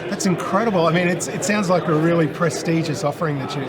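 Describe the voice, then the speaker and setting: An Australian man interviews a guest in an upbeat, chatty manner, his fairly high voice moving fast. A loud crowd fills the conference floor behind him. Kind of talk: interview at a trade event.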